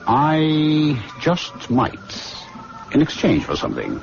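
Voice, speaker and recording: A man's voice: a little high, huge pitch swings, creaky, heavily acted. An actor playing a sly, teasing, confident young medical man who needles and banters, in an old-fashioned radio drama. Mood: sly, amiable. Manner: needling, bantering, drawn-out questioning. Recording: dull lo-fi radio recording, music.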